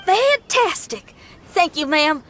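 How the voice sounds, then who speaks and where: A spirited young woman musician. Her voice is high and very bright, swinging in lively, folksy phrases like a game adventurer. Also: slow pace, a little hiss or hum, exaggerated voice. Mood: enthusiastic. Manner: folksy, exuberant. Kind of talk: animated game character acting.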